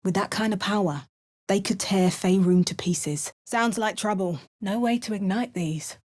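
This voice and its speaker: A woman's voice: medium pitch, a little slow, close to an everyday voice. A woman with an English accent voicing a hardened, wry female adventurer in a video game, making dry remarks and chanting spells. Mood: grim. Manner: chants spell words in a lively, sing-song way. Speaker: player character.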